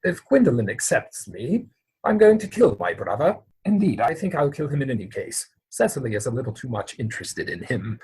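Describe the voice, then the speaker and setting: Man acting proper young gentleman, Victorian comedy, husky, mid pitch, formal, prim, exasperated, evasive. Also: sing-song, fairly quick, low-quality recording. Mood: indignant. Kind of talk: dramatic reading of Victorian stage comedy. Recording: home recording over video call.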